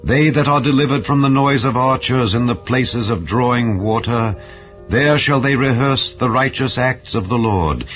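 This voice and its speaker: A man narrating a dramatized Bible reading in a solemn, formal, measured way. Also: medium pitch. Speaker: scripture narrator. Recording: slightly dull audio with music underneath. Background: background music.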